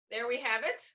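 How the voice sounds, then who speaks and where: High, bright-voiced woman presenting informally. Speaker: presenter, teacher trainer.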